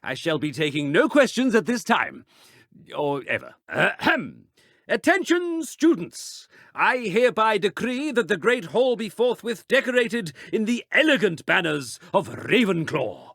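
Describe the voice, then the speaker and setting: A man's voice: high voice, big pitch swings, a little slow, gravelly, fairly bright tone, dry recording, clean studio sound, heavily acted. A man voicing a pompous, imperious school authority in a video game, declaiming formally. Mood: pompous, commanding. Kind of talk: scripted video game announcement.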